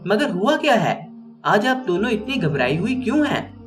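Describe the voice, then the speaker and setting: An anxious middle-aged father, as a male storyteller does him, asks tender, alarmed questions. The voice sits fairly high and moves a lot. Music plays softly behind. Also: sing-song, normal speed.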